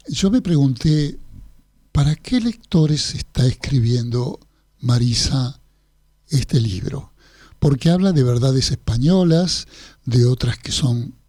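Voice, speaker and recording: An Argentine man with a Rioplatense accent hosting a literary radio interview, asking long, measured, meandering questions. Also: medium pitch, dark tone, dry recording, clean studio sound. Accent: Rioplatense Spanish. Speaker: radio host. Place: radio studio.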